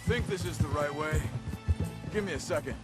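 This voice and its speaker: Man playing a gentle outlaw, earnest game dialogue, slightly high voice, loud music and animals behind. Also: normal speed, lightly acted. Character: principled, gentle, cautious male outlaw companion. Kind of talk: naturalistic video game dialogue.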